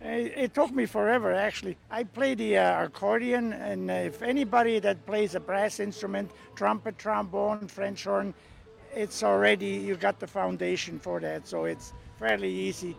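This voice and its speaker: Gravelly older Swiss-accented man. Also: high-pitched, fairly even intonation, moderate pace. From Switzerland. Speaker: alphorn player. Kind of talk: casual outdoor interview. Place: outdoor festival.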